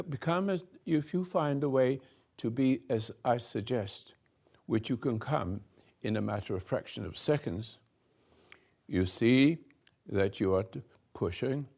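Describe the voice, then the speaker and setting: An older man slowly giving a rambling spiritual talk to a room, halting and restarting, swinging between soft and loud. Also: medium pitch, creaky, husky. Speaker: spiritual teacher hosting a talk.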